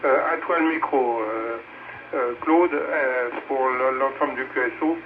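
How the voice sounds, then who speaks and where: A man's voice: mid-range voice, unhurried. Older male radio amateur, casual rambling talk, very narrow tinny transmission, noisy. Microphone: two-way radio transmission.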